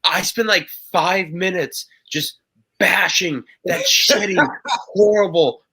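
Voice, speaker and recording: A man with a nasal voice, co-hosting a casual talk show and chatting in an animated way, with frequent stammered restarts and swearing. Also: sits high, slow, husky. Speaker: podcast co-host. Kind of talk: casual chat.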